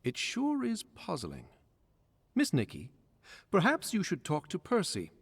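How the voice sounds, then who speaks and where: An actor playing the wry ghost of a former court servant in a video game, speaking in a courteous, musing, theatrical way. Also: male voice, mid-range voice. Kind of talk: scripted video game character dialogue. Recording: long hall-like reverb.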